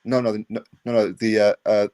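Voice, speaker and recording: A man's voice: fairly low pitch, level tone, moderate pace, darkish tone. A man from Newcastle whose southern English accent carries some Geordie, talking casually and hesitantly as a guest. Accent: southern English mixed with Geordie. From Newcastle, northeast England. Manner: hesitant, many fillers. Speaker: boxing interviewer and guest. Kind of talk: casual chat.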